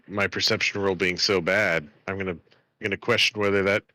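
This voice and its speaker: Man, tabletop player, casual talk, deep pitch, bright forceful tone, dry sound. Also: steady tone, moderate pace, no echo. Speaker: player in a tabletop role-playing game. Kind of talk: casual in-game chat.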